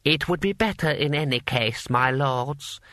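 A man's voice: medium pitch, lively intonation, unhurried, bright, forceful tone, dry recording, clean studio sound. A man voicing an imperious, scheming, impatient fantasy warlord in an audiobook, haughty and coolly polite. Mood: smooth, grave.

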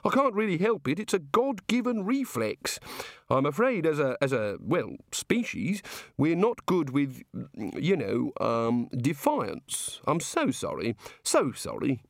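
A man's voice: fairly high pitch, wildly swooping pitch, unhurried, creaky, no echo, clean studio sound, pitched above the performer's own voice, exaggerated voice. A man voicing a curious, apologetic male island god with a short temper, polite and flustered.

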